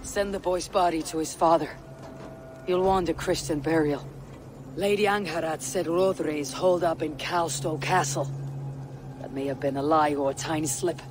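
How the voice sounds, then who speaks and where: An actor playing a calm, resolute Viking warrior who speaks tersely. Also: male voice, fairly high pitch, moderate pace, lightly acted. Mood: steady. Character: steady young adult male Viking warrior. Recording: game soundtrack with music behind.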